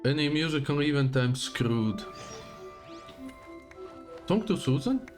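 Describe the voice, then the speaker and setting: A man's voice: on the high side, expressive, slow. A man streaming a video game live, giving casual, offhand commentary as he plays. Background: faint game music.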